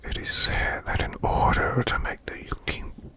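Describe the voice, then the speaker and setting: A man voicing a demon boss who reads eerie folklore as bedtime ASMR in a slow, hushed, growling rasp with long pauses. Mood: hushed, ominous. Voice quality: growling, gravelly, breathy rasp.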